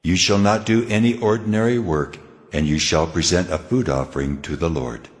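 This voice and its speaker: An actor playing God in a dramatized scripture reading, solemn, measured and commanding as he hands down laws. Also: low-pitched, close to an everyday voice. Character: God, commanding solemn lawgiving male deity. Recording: long cavernous reverb, faint music.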